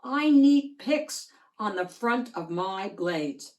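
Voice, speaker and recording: A woman's voice: mid-range voice, animated, slow, a little mellow. A woman voicing an earnest, determined little boy in a children's storybook, speaking insistently.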